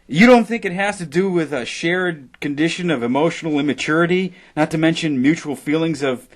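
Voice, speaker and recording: A man's voice: fairly high pitch, lightly acted. Needling, pompous male radio interviewer , acted. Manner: halting, wordy, pointed. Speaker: actor. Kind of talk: satirical radio interview acting.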